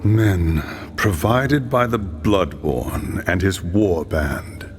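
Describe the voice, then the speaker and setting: A man playing a thoughtful, grave advisor in a dramatic video game scene, speaking formally and deliberately. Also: low-pitched, lively intonation, slight background noise, exaggerated voice.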